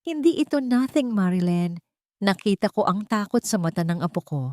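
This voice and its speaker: Lady doing a worried grandma in an audio drama, gentle n earnest, mellow, pitch moves a lot. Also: expressive, moderate pace, no room sound, clean studio sound, natural voice. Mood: firm concern. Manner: hesitant, earnest.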